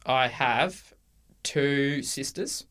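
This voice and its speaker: An Australian man in his twenties talks in a relaxed, unhurried way, often pausing with ums and starting his sentences over. His voice sits in an ordinary middle pitch. He comes across as a casual podcast co-host. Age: 20s. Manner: relaxed, hesitant with many ums and restarts. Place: university room.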